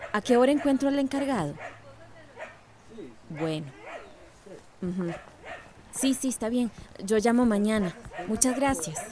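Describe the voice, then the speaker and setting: An actress playing a proud, stubborn young woman schoolteacher in a radio soap opera. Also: mid-range voice. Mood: polite, firm. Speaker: actor in a radio drama.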